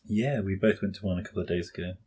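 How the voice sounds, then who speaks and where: A man's voice: low voice, quick pace, a little mellow, breathy edge. A man chatting casually as a podcast guest, a little off the microphone. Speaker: podcast guest, sound engineer. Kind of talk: casual chat.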